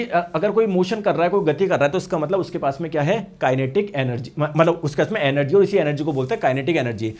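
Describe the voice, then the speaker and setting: A male science teacher with a hoarse voice, lecturing an online exam-prep class. He asks questions and answers them himself, and he repeats each term in Hindi and English. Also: high-pitched. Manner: addresses students as friends.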